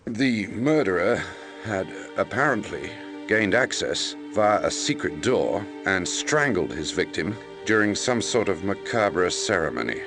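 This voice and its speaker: An actor playing an investigative journalist, narrating a murder case in a measured, slightly hesitant documentary style for a game. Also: male voice, low voice, very animated, heavily acted. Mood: reflective, hesitant. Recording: music bed under the voice.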